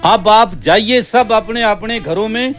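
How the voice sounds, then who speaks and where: A man's voice: sits high, measured pace, fairly bright tone. A man playing a stubborn, self-important holy man in a cartoon story, with an insistent, declamatory delivery. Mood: angry, defiant. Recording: background music.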